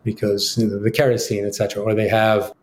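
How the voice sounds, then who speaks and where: A man, a company co-founder, talking in an interview in a businesslike, sales-pitch manner with frequent fillers. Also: monotone, fast, echoey room, a little hiss or hum. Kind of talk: interview answers.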